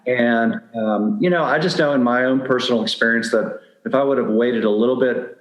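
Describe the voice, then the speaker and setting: A man's voice: medium pitch, fairly quick, reverberant, rough recording. A middle-aged man speaking candidly and anecdotally as a guest in a conversational interview. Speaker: podcast guest giving dating advice.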